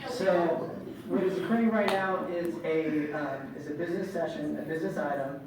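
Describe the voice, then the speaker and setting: A man with a fairly high voice lays out legal procedure in a formal, measured way. He sounds far from the mic in a big echoing chamber, with an audience murmuring behind. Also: noisy background. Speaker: city attorney. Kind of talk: reading law aloud at a public meeting. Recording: distant, very echoey hall with crowd.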